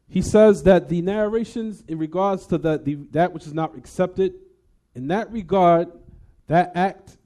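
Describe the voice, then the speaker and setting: A man's voice: a little high, warm tone. A male religious teacher explaining a text to a class in casual, conversational English mixed with Arabic terms, often asking the class "what?" and checking that everyone follows. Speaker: Islamic teacher.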